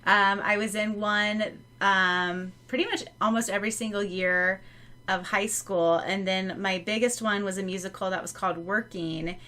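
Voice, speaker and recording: A woman's voice: medium pitch, moderate pace. A woman co-hosting a podcast at home, telling stories with giggles and lots of "like". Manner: giggly, animated storytelling. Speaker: podcast co-host. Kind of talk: casual chat.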